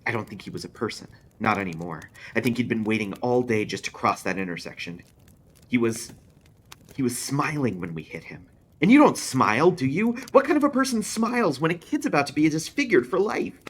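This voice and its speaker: A man voicing a shaken, guilt-ridden male professor, halting and increasingly intense, in a horror story. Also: mid-range voice, expressive, fairly bright tone, a little hiss or hum, voice pushed up, natural voice. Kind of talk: audiobook-style character voice in a horror story.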